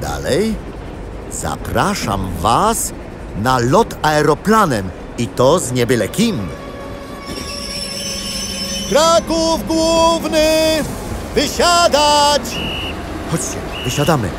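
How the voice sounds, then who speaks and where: An actor playing a cheerful grandfather who guides children through history in an audio drama, explaining things in an animated storytelling way. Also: sits high, very slow, long pauses, over music, heavily acted. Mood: enthusiastic.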